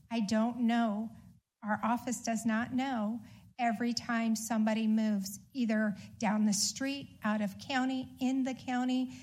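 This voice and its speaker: A woman at a debate, explaining voter rules firmly, step by step. She puts the odd filler in but keeps a steady, sure manner. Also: on the high side. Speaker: county election official and candidate. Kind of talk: debate answer.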